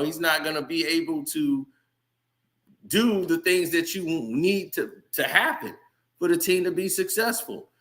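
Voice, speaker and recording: A man's voice: a little high, bright, forceful tone. A man hosting a casual sports commentary channel, ranting heatedly and talking straight to his viewers.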